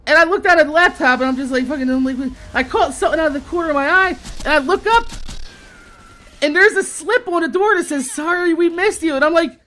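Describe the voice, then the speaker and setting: A man in his thirties telling a casual, exasperated story with heavy swearing, in the animated manner of a gaming YouTuber. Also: very high-pitched, quick pace. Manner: animated, heavily profane, exasperated.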